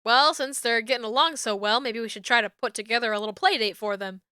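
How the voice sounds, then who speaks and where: A woman's voice: on the high side, fast, bright, forceful tone, clean tone, dry recording, studio quality. A woman reading the lines of an earnest, friendly suburban dad in a dating-sim game.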